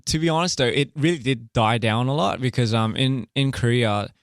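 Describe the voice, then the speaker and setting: A young man from Australia speaking in a laid-back, halting way, often pausing to search for words, as a musician guest in a casual radio interview. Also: medium pitch, dry recording, clean studio sound. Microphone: studio microphone.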